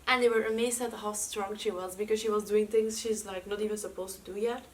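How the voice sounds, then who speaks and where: A woman's voice: medium pitch, fairly even intonation, fairly quick. A young woman with a Dutch accent, chatting casually at home in a vlog, with lots of fillers. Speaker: vlogger. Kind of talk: casual chat. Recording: slightly distant mic with faint room noise.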